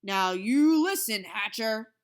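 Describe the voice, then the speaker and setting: A big, blustering boss man bellows his demands in a storybook reading, put on by a woman reader. His voice is loud and bossy, rising and swooping as he insists. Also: mid-range voice, lively intonation, fairly quick, close to an everyday voice. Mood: insistent. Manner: shouting, bossy. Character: big loud blustering male company president.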